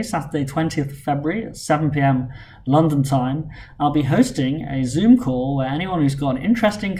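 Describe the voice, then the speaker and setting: A man's voice: medium pitch, a little mellow, slight background noise. A man in his thirties recording a weekly vlog at home, talking earnestly and steadily while worn out from a long illness. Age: 30s. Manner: measured, earnest, plain-spoken with frequent "uh" fillers. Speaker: vlogger and company founder. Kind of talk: weekly vlog update to camera. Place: home room with bookshelves, indoors.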